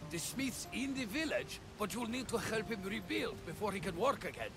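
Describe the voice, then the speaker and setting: An exasperated village leader from an ancient Greek adventure game. His voice sits high and swings widely, blunt and sardonic, with music underneath. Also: expressive, normal speed, gravelly. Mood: sardonic, pragmatic. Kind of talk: video game cutscene acting.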